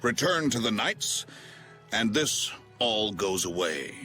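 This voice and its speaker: A blustering video game knight officer with a bright, forceful voice. He is commanding and excitable, swinging widely in pitch, yet his delivery is measured and slow. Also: soft background music, heavily acted. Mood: gruff, firm. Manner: emphatic, barking orders. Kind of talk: animated video game acting.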